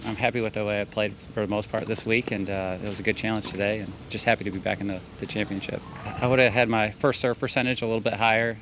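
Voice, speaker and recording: Male amateur athlete, quick, flat interview delivery, noisy location sound with clicks. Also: on the low side. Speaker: amateur tennis player. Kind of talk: post-match interview.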